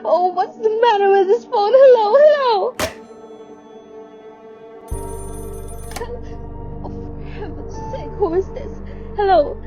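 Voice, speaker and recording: A woman's voice: very high-pitched, dull audio. A young woman playing a nervous, demanding invalid woman with plaintive, imperious outbursts in an amateur radio play. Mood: agitated. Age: young adult. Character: frantic, demanding invalid woman alone in bed. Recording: dramatic music laid under the voice.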